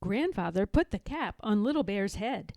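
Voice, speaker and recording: A woman reading a children's storybook aloud in a deliberate, expressive manner. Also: medium pitch, no room sound. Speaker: storybook reader. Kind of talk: children's read-aloud narration.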